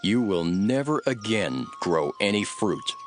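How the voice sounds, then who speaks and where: An actor playing a calm, authoritative male teacher and prophet in a dramatized scripture reading. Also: lowish voice, a little slow, music in the background. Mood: firm.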